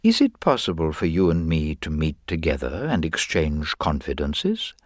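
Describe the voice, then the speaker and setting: A man voicing a mild, artful older detective in a crime story, courteous and confiding in a lowered voice. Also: wildly swooping pitch, normal speed, no echo, clean studio sound, full character voice. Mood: politely confiding.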